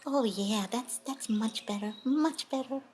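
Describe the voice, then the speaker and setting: A giggly, friendly little lamb from a children's puppet show, played by a woman. The voice sits a touch high and sing-song, with soft background noise in the room. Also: unhurried, lightly acted. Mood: relieved.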